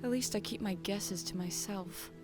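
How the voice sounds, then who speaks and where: A woman's voice: medium pitch, moderate pace, over music, close to an everyday voice. A woman playing a proud, prickly, scornful woman in an audio drama, speaking in a cutting, haughty manner. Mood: cold.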